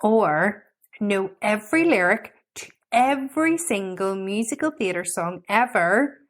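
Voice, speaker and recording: A woman's voice: animated, measured pace. A woman co-hosting a podcast, chatting casually and easily. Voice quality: low alto singing voice. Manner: chatty, easygoing. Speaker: podcast co-host. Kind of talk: casual chat.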